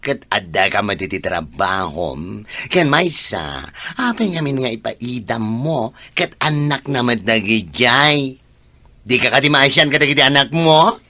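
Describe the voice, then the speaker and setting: A man playing a frank, concerned friend in a radio drama, chiding in a drawn-out manner. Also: medium pitch, lively intonation, bright, forceful tone, no echo. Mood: concerned advice. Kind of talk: Ilocano radio drama acting.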